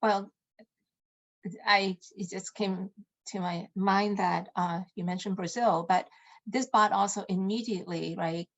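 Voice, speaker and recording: A woman's voice: mid-range voice, steady tone, a little slow, fairly bright tone, lo-fi sound. A woman moderating a live webinar, prompting the presenter politely with audience questions. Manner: brief interjections and polite prompts.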